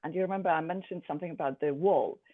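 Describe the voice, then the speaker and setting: A speaker born in Poland, an artist presenting her own work in a thoughtful, halting way with many pauses and fillers. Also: mid-range voice. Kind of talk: art presentation to a group. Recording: tinny phone-like sound.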